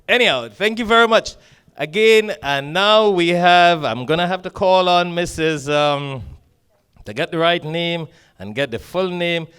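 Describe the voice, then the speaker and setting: A man's voice: sits high, a bit bright, clean tone. A man hosting an event, joking and chatting as he introduces speakers. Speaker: event host. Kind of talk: emcee banter.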